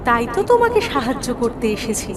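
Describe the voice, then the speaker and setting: A woman's voice: high-pitched, sing-song, quick pace, noisy background. A woman voicing a kind forest goddess in a cartoon fairy tale, sympathetic and comforting. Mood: compassionate.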